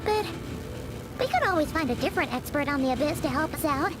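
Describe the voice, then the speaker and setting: A tiny female game fairy with an extremely high, squeaky, childlike voice. She chatters in a very animated, swooping way, loyal and excitable, over clearly audible music. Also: quick pace. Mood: worried, wary. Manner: refers to herself in the third person, animated. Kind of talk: video game voice acting.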